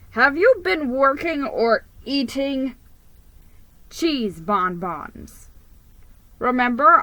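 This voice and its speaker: A woman voicing a tough, no-nonsense old grandfather mouse in a children's storybook reading, loud, blustering and emphatic. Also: high voice, no echo, voice pushed up, full character voice, low-quality recording.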